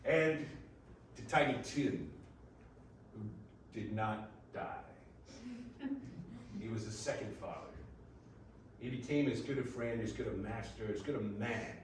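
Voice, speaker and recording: A man gives a theatrical, emphatic narration of a story. He is at a medium pitch and some distance from the mic, in a very echoey room with faint noise. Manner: theatrical, emphatic dramatic pauses.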